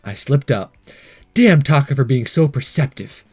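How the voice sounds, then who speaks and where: A man's voice: mid-range voice, lively intonation, moderate pace, a little mellow, slightly rough. A man hosting a let's play, reading visual novel dialogue aloud in a playful, theatrical way with joking asides. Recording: game music behind.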